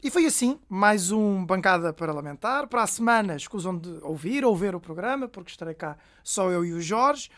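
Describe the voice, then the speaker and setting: A woman's voice: mid-range voice, expressive, a little fast, few pauses. A woman, a podcast host wrapping up the show in a playful way.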